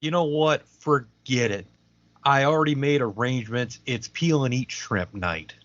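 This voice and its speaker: A man's voice: mid-range voice, unhurried, a bit bright, dry recording, highs cut off, lightly acted. A man acting in a comedic audio play as a petulant, self-important wrestling referee with a melodramatic manner. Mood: indignant. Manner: indignant, melodramatic.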